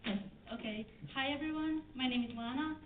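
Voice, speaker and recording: A woman's voice: high-pitched, fairly quick, noisy background. A woman in her twenties from Bjelovar, a student giving a short, plain self-introduction. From Bjelovar, Croatia. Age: 20s. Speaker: vice chair, student. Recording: echoey hall, far from mic, tinny.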